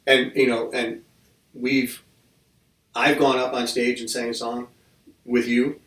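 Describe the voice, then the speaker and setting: A man's voice: mid-range voice, flat delivery, slow pace, fairly bright tone. A man hosting a podcast, chatting casually with his guests, often restarting his sentences and joking as he goes. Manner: rambling, restarts sentences, jokey. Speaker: podcast host. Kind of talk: casual interview chat. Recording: roomy mic from a distance.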